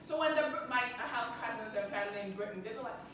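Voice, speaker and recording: A cheerful woman with a Ghanaian accent runs a small workshop, laughing often and drawing the audience in with questions. Her voice sits high. She is caught from a distance in a very echoey room, with steady noise behind her. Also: fast talker. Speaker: workshop speaker. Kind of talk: interactive stress-relief workshop. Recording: echoey room, mic far off, background noise.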